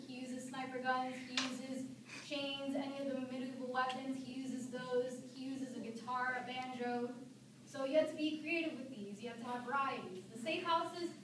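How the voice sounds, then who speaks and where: High-pitched young woman in a very echoey room. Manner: conversational, colloquial, casually addressing listeners. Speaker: student speaker giving a speech. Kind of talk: informal humorous public speech. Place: echoey room, recorded from a distance. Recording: echoey room, distant mic, noisy background.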